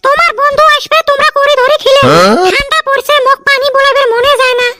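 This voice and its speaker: Squeaky, nagging cartoon village wife, western Assam accent. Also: female voice, level tone, quick pace, sharp tone. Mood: nagging, hurt. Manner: complaining, melodramatic. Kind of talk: comedy cartoon dubbing.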